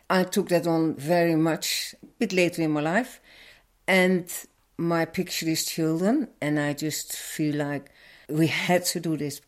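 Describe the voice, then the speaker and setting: An older woman with a Dutch accent, speaking earnestly and a little haltingly as an activist being interviewed. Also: deep voice, darkish tone. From Netherlands. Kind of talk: personal interview answer.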